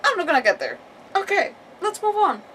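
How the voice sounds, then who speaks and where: A woman streamer giving casual gaming commentary in a rambling, halting way, often searching for words. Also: very high voice, very animated, pushed and bright. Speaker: live streamer playing a video game. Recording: distant mic, faint game noise behind. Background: video game audio.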